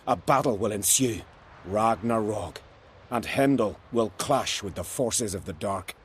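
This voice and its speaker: An actor playing a devout pilgrim with a solemn, formal way of speaking in a fantasy video game. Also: male voice, lowish voice, measured pace, a little hiss or hum. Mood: solemn, foreboding. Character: devout, solemn male pilgrim foretelling doom. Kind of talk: video game dialogue acting.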